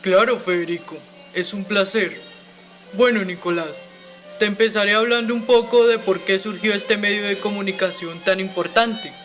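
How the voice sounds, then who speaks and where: A man's voice: high voice, moderate pace, fairly bright tone, faint music behind. A man playing a courteous inventor who gives polite, scripted explanations in an educational radio drama.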